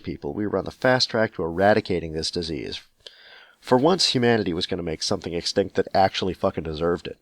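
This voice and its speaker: Deep, gravelly, sardonic man podcasting. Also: a bit bright, dry recording. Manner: sarcastic, sweary. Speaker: podcast host. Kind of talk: solo podcast talk, casual and sardonic, reading listener mail.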